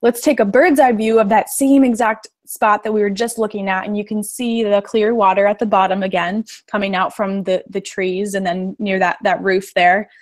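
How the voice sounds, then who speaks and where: A woman's voice: mid-range voice, flat delivery, moderate pace, hardly any pauses. A young American woman giving an informal explanatory talk to students over a live stream, in simple, step-by-step terms. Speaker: photographer and cave diver giving a classroom talk. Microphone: live stream video call.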